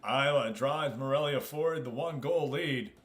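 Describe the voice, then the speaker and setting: Male American livestream host, medium pitch. Casual, chatty game commentary that switches between greeting viewers and explaining the play. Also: normal speed. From United States. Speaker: live streamer and host.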